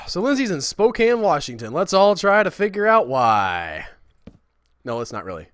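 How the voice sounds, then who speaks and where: Squeaky-voiced guy rambling on his game stream, super dry close mic. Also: huge pitch swings, a little slow, fairly bright tone, dry recording. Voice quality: high-pitched, squeaky voice. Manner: rambling, self-deprecating, reading out chat. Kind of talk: casual livestream chat.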